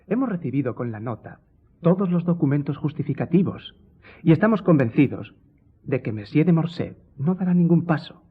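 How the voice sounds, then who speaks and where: A man's voice: a little high, lively intonation, moderate pace, breathy edge. A man playing a complacent, self-assured newspaper editor in a radio drama. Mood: smug, unbothered. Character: smug, unbothered newspaper editor of a government paper. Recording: thin phone-like audio with music.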